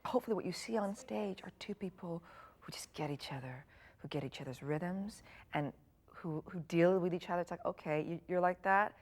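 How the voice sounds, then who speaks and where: Soft-spoken low-voiced woman reflecting. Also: brisk. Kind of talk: interview answers.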